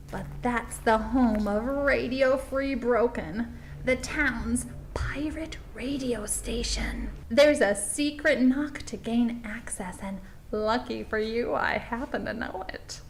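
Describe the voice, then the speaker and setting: A woman's voice: on the high side, takes it slowly, slight background noise. A woman playing a chirpy, confiding small-town tour guide narrating a comic mockumentary. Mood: hushed, confiding. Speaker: documentary presenter.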